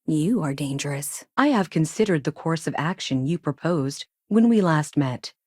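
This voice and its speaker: A woman playing an emotionless, logical female AI space probe in a video game, speaking in a clipped, formal, deadpan way. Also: deep voice, normal speed, no echo, clean studio sound. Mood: flat, analytical. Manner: clipped, formal, deadpan, announces each statement type first. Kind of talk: scripted game dialogue.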